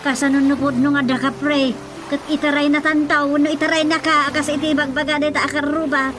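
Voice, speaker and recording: A woman acts a fretful village woman in an Ilocano radio comedy. Her voice is high, questioning and scolding, with a Filipino accent, and music plays clearly behind it. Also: level tone, fairly quick, close to an everyday voice. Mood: anxious, scolding.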